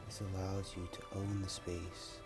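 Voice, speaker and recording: Low, even-voiced man guiding meditation over music. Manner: soothing, measured, unhurried with long pauses. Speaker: guided meditation leader. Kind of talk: guided meditation narration.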